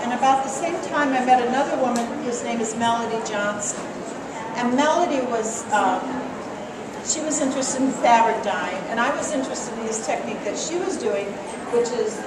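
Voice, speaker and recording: A middle-aged woman from Colorado, a quilt artist and teacher, chatting casually and self-deprecatingly with plenty of fillers, recorded from a distance at a show. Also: fairly high pitch. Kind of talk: casual demonstration and interview. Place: large echoey hall at a quilt show. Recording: echoey hall from far off, loud ambient noise.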